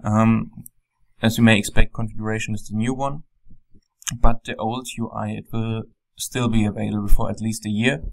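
A man with a German accent, a software developer, calmly walking through a program on a screen recording with frequent ums. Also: on the low side, normal speed, vocal fry. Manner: calm, plain explaining with frequent ums.

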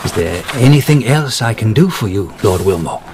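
An actor playing a stammering, fawning records clerk. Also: male voice, fairly low pitch, lively intonation, normal speed, background noise, lightly acted. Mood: nervous, obliging. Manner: hesitant and stammering. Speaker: actor in radio drama.

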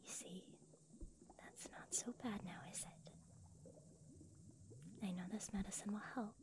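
Woman acting young female healer, anime ASMR roleplay, soft whisper, very slow pace, gentle, soothing. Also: mid-range voice, steady tone, close to an everyday voice. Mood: reassuring.

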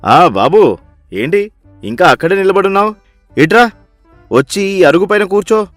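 Man acting a high-pitched, bossy miserly husband. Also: expressive, normal speed, dry recording, faint music behind. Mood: bossy, impatient. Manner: scolding, sarcastic.

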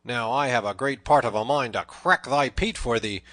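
A man acts out a big blustering male outlaw from a storybook. He is loud and hot-tempered, challenging in archaic speech. The voice is pushed up and rings out forcefully. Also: on the high side, normal speed, dry recording, voice pushed up. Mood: loud indignation.

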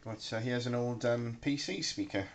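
A man giving a casual, halting demonstration at a workbench, recorded on a handheld camera. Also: medium pitch. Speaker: hobbyist electronics builder presenting. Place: indoors at a cluttered workbench. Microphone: handheld camera microphone. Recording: noisy handheld camera in room.

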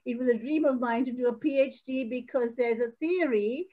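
A woman's voice: high voice, a little fast. An older woman from Sri Lanka recalling her studies on a video call, hesitant with many fillers and restarts. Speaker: audience member. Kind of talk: casual recollection on a video call. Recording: tinny phone-like call audio.

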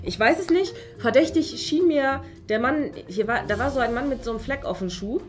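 Chatty, quick-talking young woman. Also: high voice. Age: young adult. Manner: chatty, evasive. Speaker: suspect in a role-play game. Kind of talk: improvised conversational role-play. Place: small furnished room indoors. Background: music.

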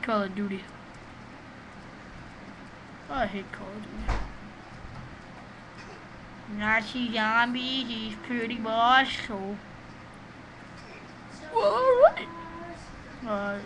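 A man with a small, high voice giving rambling, profane, excitable commentary while playing a game, recorded on a webcam microphone. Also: very slow, pauses often. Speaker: gamer doing a let's play. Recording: noisy home recording.

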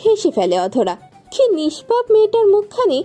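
A woman's voice: very high voice, huge pitch swings, normal speed, mellow, soft background music. A woman reading a scripted romantic story as its narrator.

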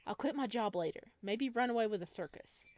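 A woman's voice: a little high, lively intonation, fairly quick, darkish tone, no room sound. A woman streaming a visual novel playthrough, reading aloud expressively with playful, chatty reactions. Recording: music playing behind the voice.

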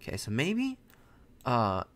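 Young American chess streamer with Mandarin as his first language; relaxed live commentary, talks through moves and chats with viewers. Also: mid-range voice, slow. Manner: thinks aloud, chatty, self-correcting. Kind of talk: casual live commentary while playing. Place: bedroom at home, indoors.

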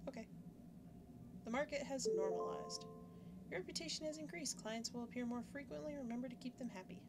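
A woman's voice: high voice, lively intonation, brisk, loud music behind the voice. A young woman giving casual, self-mocking commentary while playing a game, thinking aloud as she goes. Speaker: gaming YouTuber. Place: home setup, webcam in corner. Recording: loud background noise.

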